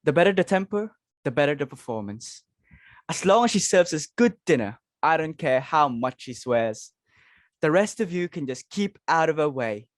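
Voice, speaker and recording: A woman's voice: sits low, expressive, normal speed, dry recording. Woman acting fussy, swooping society hostess. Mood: brisk, imperious. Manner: exclamatory, fretful, commanding. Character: fussy, social-climbing society hostess and mother.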